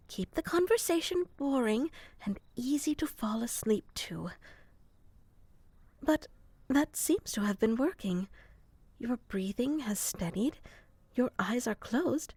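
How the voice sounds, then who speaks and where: A gentle, slightly scatterbrained moth girl, acted by a woman murmurs in a raised, light voice at an unhurried, drowsy pace. She talks softly and slips into whispers now and then. The close microphone keeps the sound dry. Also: fairly high pitch, dry recording. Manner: soft-spoken, slow and musing, slipping into whispers. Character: gentle, slightly scatterbrained female moth creature soothing a human to sleep. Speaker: ASMR performer. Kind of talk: ASMR sleep roleplay.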